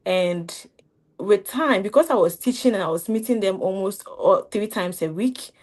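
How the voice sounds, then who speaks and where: A young woman from Ghana with a Ghanaian accent, a grad student chatting in an interview, animated and laughing often as she acts out quotes. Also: medium pitch, normal speed. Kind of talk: casual interview chat.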